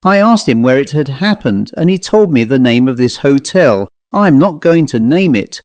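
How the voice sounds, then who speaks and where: A man's voice: medium pitch, lively intonation, normal speed, darkish tone, no room sound, close to an everyday voice. A man reading in character as a chatty, genial commercial traveller telling an anecdote in colloquial style for an audiobook ghost story. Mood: confiding, reminiscing. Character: dapper commercial traveller, chatty male raconteur of ghost stories.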